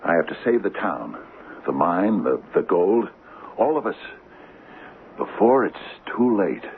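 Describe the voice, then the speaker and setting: A man's voice: low-pitched, sing-song, measured pace, full character voice. A man acting in a radio drama as an anxious, brooding middle-aged small-town mayor and husband, with a hesitant, earnest, stammering delivery. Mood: urgent, fearful. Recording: muffled, noisy old radio broadcast, phone-like.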